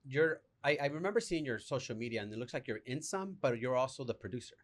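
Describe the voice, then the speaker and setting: A man's voice: mid-range voice, animated, quick pace. A man hosting a podcast, welcoming a guest and asking long, rambling questions in an upbeat way. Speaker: podcast host. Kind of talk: casual podcast interview.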